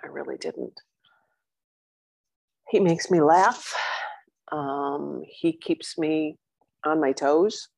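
A woman's voice: low-pitched, sing-song, very slow, creaky, long pauses, breathy edge, dry recording. A middle-aged woman talking openly as an interview guest, chatty and candid with frequent ums. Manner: chatty and open, with many ums and warm asides. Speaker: interview guest, coaching client.

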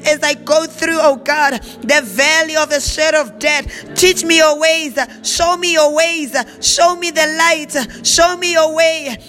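Fervent woman praying over soft music. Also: high-pitched. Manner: fervent, repetitive declarations. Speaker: prayer leader. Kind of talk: spoken prayer with speaking in tongues.

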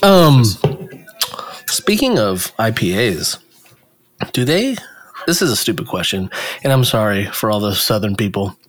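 A man co-hosting a casual chat from home, animated and joking, with an irreverent way of talking. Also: fairly low pitch, measured pace, pauses often, rough recording. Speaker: podcast co-host. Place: home in Long Beach.

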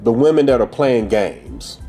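A man in his forties with a husky, breathy edge, holding forth in a blunt, profane, preachy way on his own show. His pitch sits mid-range for a man, and faint music plays underneath. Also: lively intonation, a little slow, warm tone. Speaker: podcast host. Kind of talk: casual monologue on dating.

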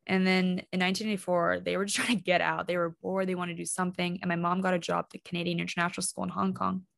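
A young woman speaks in a lower register with plenty of vocal fry. She is curious and reflective, and the sound is dry with no room echo. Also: level tone, fast, a bit bright. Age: young adult. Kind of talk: casual chat.

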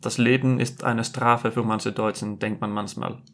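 A man's voice: lowish voice, flat delivery, normal speed, a bit bright, slight background noise. A young Icelandic man with an Icelandic accent, speaking German as a second language, chatting with blunt, dry humor. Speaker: podcast guest, host's partner. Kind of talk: casual conversation.

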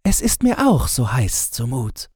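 Male narrator as young princess, high-pitched, anxious, delicate, storybook character, close dry sound. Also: big pitch swings, unhurried, a little mellow, breathy edge, dry recording, full character voice. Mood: uneasy. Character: frightened youngest princess, a girl. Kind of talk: fairy-tale audiobook character voice.

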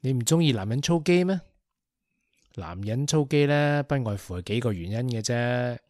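A chatty young man who teases his friends, acted by a man, with a Hong Kong Cantonese accent. His voice is mid-pitched and dry, recorded close. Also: animated, moderate pace, darkish tone, no echo, natural voice. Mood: teasing. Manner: joking, talkative, teasing. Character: chatty teasing young man, office worker. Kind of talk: Cantonese radio drama acting.

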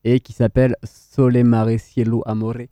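A man's voice: medium pitch, level tone, normal speed, dark tone, no room sound. A man hosting a music radio show with casual, hesitant, conversational commentary. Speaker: radio host. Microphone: close studio radio microphone.

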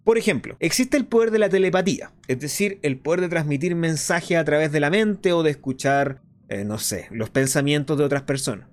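A man playing an earnest, explanatory host of a clandestine resistance radio show, in a scripted monologue with deliberate phrasing. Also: on the high side, close to an everyday voice. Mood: explanatory, intrigued. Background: music behind the voice.

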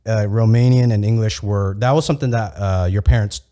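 Low-voiced stammering man asking questions. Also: steady tone, fairly quick, dark tone. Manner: stammering, crude jokes. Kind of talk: casual interview.

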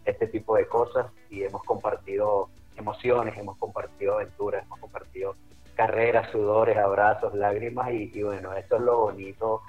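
A friendly, chatty man in his fifties with a Caracas Venezuelan accent reminisces as a radio guest, often restarting his sentences. His voice sits lowish and comes through dull and lo-fi. Faint music plays behind him. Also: little pitch movement, normal speed. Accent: Caracas Venezuelan Spanish. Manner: friendly and chatty, with frequent restarts and light laughs. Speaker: running club director.

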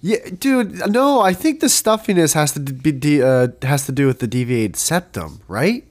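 A man's voice: fairly high pitch, normal speed. A young man with a stuffy, blocked nose from a cold, chatting casually and laughing as a podcast co-host. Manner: animated, laughing, swearing. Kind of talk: casual chat.

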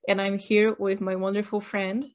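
A woman's voice: mid-range voice, little pitch movement, normal speed. A woman from Brazil co-hosting a casual podcast, explaining things with a wry, joking, chatty manner. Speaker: podcast co-host. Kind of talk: casual chat.

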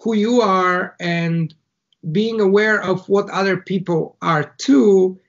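A man's voice: sits high, steady tone, slow, highs cut off. A man from Bulgaria with a Bulgarian accent, a guest in an interview who talks through his ideas with analogies, in short phrases with small restarts. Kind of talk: casual conversational interview.

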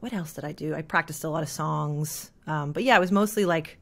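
A woman in her thirties from Canada, a jazz singer chatting as an interview guest with a thick, heavy voice, full of "like" and quick laughs. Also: low-pitched, vocal fry. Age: 30s. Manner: rambling, filler-heavy, laughs often. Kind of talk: casual interview chat.

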